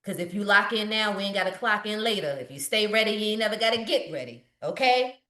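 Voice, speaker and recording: A woman from Richmond, Virginia, a business coach answering questions in a lively, preachy, motivational way. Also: mid-range voice, fast talker, reverberant. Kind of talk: live webinar Q&A.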